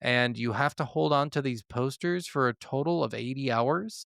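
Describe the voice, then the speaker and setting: A man with a mid-pitched, creaky-edged but clean voice chats as a podcast co-host. He swears freely and sounds enthusiastic about his subject. The recording is dry, with very little room sound. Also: normal speed, a bit bright, no room sound. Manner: profane, enthusiastic. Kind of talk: casual gaming podcast chat.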